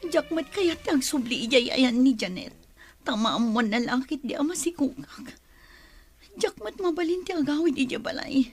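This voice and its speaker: Actress as high-voiced, distressed young Ilocano woman. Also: expressive, normal speed, soft background music. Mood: brooding. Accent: Ilocano Filipino. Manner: emotional, dramatic, tearful outbursts and muttered monologue. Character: distressed young pregnant woman, bitter and scheming. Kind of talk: Ilocano radio drama acting.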